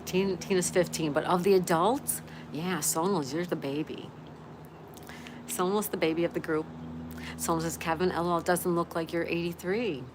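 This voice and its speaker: A woman's voice: lowish voice, fairly even intonation, moderate pace, background noise. A woman in her sixties hosting a casual livestream, chattily reading out comments and greeting viewers. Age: 60s. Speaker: livestream host.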